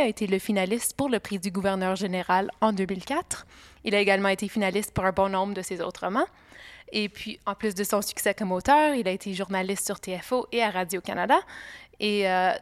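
A woman hosting an interview, polite and a little hesitant, with frequent filler pauses as she introduces her guest and asks questions. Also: mid-range voice, no room sound.

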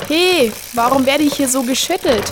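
Little talking lemonade bottle acted by a woman, female, high voice, cheeky, curious, expressive, children's radio play, noticeable background noise. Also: moderate pace, natural voice. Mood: indignant. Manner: indignant, questioning, expressive.

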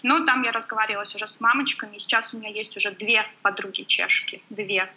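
A woman guest chatting quickly and warmly in an interview, full of filler sounds. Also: mid-range voice, steady tone, dull audio. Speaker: guest blogger. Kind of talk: casual interview chat.

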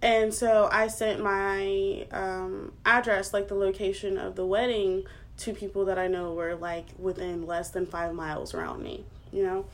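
A woman's voice: medium pitch, measured pace, a little hiss or hum. A woman in her twenties co-hosting a casual chat podcast, talking with many fillers and frequent laughs. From United States. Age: 20s. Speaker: podcast co-host.

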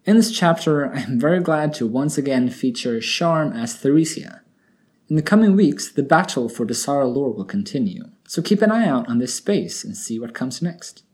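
A man's voice: mid-range voice, lively intonation, normal speed, darkish tone. A man hosting and narrating a scripted fantasy audio drama as a storyteller. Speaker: narrator and host.

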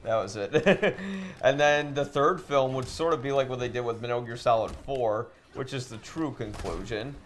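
A man's voice: medium pitch, moderate pace, hardly any pauses. A man hosting a casual podcast chat while playing a video game, talking discursively, giving opinions and posing questions. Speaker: podcast host. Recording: clearly noisy room recording.

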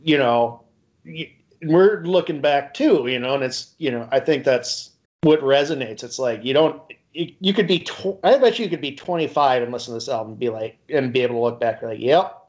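A man in his forties co-hosting a podcast, talking casually and haltingly with many "like"s and "you know"s. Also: mid-range voice, expressive, highs cut off. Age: 40s. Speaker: podcast co-host.

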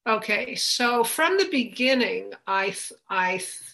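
A woman's voice: fairly high pitch, animated, measured pace, creaky, bright, forceful tone. A woman answering questions conversationally as a guest in an interview.

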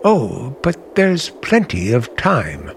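An actor playing a charming but menacing uncle in old-time radio drama, with smooth, hesitant, genial talk that masks a threat. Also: male voice, mid-range voice, huge pitch swings, slow, slight background noise, full character voice. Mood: hesitant, affable. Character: charming, menacing uncle hiding a dark secret.

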